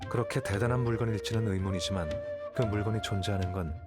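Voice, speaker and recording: A man playing a calm, aloof, calculating young scholar in a video game, explaining things in a composed, matter-of-fact way. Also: on the low side, fast, loud music behind the voice, close to an everyday voice. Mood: calm, explanatory. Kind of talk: scripted game dialogue, Korean voice acting.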